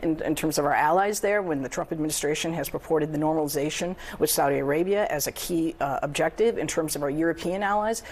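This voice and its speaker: A woman, an advocacy group director, answering questions in a live TV news interview in a measured, earnest way with some hesitations. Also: deep voice, a little mellow.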